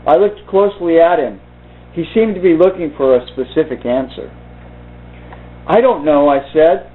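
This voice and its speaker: A man reading aloud and commenting informally in a halting, deliberate way. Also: a little high. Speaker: video host reading from a book. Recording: muffled phone-like narrow-band sound.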